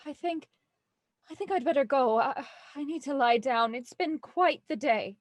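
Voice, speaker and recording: An actress as a well-bred young heiress in a period radio drama. Her voice is high and closely recorded, very dry of room sound, with polite, proper phrasing and an anxious, easily flustered edge. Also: moderate pace, dry recording, lightly acted. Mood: shaken, courteous. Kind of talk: audio drama acting, Victorian murder mystery radio program.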